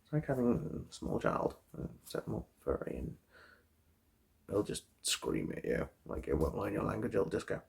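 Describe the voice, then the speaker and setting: A man speaking in a soft, breathy half-whisper with a husky, gravelly edge, gently reassuring and chatty as he plays an eye examiner in an ASMR roleplay. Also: on the low side, very animated, moderate pace.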